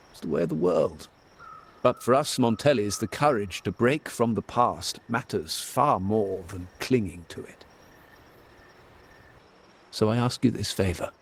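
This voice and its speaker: An actor playing a stern old family patriarch in a video game, measured and solemn, with a gravelly voice. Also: male voice, fairly low pitch, heavily acted. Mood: solemn, quiet. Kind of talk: dramatic video game voice acting. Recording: noisy, night insect ambience behind.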